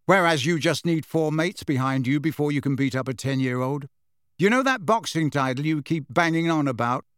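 A man's voice: a little high, moderate pace, a bit bright, clean tone, no echo, clean studio sound, close to an everyday voice. A man voicing a sarcastic, defiant teenage boy wizard with a taunting manner in an audiobook story.

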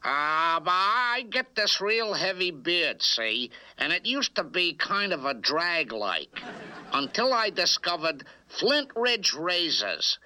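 Sharp-voiced dim caveman jock. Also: high-pitched, big pitch swings, a faint crowd, exaggerated voice, low-quality recording. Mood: smug. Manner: slangy, halting, dopey. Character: dim, vain caveman star athlete selling razors. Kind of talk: parody testimonial advert.

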